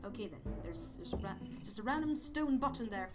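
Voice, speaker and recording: A man putting on a raised, goofy voice with a Swedish accent, rambling and hesitant as he comments on a game. He yelps and stammers at every surprise. Loud game music plays behind him. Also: high voice, expressive, fairly quick. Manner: rambling, hesitant, jumpy exclamations. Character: goofy high-voiced man persona, rambling and easily startled. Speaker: let's play host. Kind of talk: let's play commentary.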